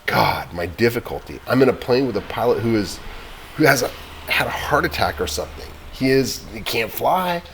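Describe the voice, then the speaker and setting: A man's voice: on the low side, expressive, moderate pace, hardly any pauses, a little hiss or hum, lightly acted. A man voicing a panicked teenage boy stranded in a plane, pleading frantically. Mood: desperate.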